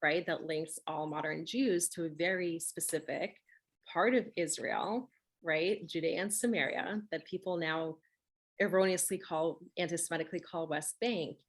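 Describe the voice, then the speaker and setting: A woman's voice: fairly low pitch, moderate pace. A woman who grew up speaking Russian, hosting a conversational interview and talking emphatically, often checking with "right?". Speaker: host.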